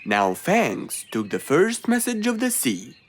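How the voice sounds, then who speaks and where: Casting: patient teacher-fox for a kids' bedtime cartoon, fairly low and animated, music underneath. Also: female voice, slow pace, heavily acted. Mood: gently instructive. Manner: patient, instructive. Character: patient, wise storyteller fox, Mister Fox, teaching children. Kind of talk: children's cartoon storytelling.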